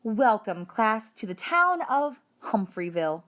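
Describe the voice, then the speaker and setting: Woman reading as an encouraging, lively schoolteacher. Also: fairly high pitch, a little slow. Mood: pleased. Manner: clear, instructive classroom address. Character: encouraging, orderly female primary school teacher.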